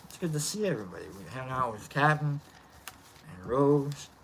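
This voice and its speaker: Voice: mid-range voice, lively intonation, normal speed, a little hiss or hum, rough recording. A live stream host with a nasal voice, chatting casually and rambling while greeting viewers by name. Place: outdoor lounge by a fire pit.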